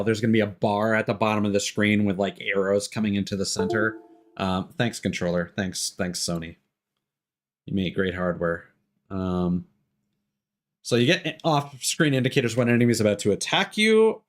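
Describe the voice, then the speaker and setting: A man giving casual live gameplay commentary, rambling, swearing and joking dryly. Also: on the low side. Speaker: game streamer.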